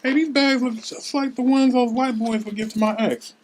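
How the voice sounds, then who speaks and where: A man's voice: extremely high pitch, fairly even intonation, moderate pace, slight background noise, voice pushed up. Man reading a slangy, grateful young woman. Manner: slangy, informal.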